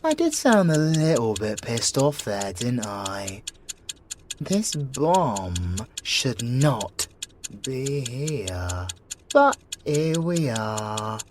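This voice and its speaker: A man's voice: medium pitch, very animated, drawn-out words, noisy background. A British man in an ASMR audio roleplay, playing a sly, teasing, menacing male siren with a theatrical, mock-polite manner. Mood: irritated, menacing.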